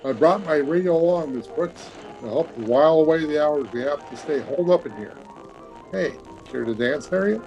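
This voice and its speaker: A man playing an eager, breezy, slangy teenage high school boy in an old-time radio comedy. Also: expressive, moderate pace, a little mellow, dull audio, faint music behind. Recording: remote video-call recording.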